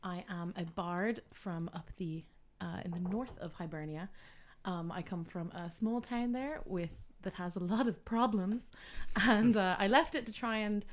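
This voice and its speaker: A woman, a player introducing her character in a halting, self-deprecating way. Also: on the low side, a little hiss or hum. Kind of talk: casual chat.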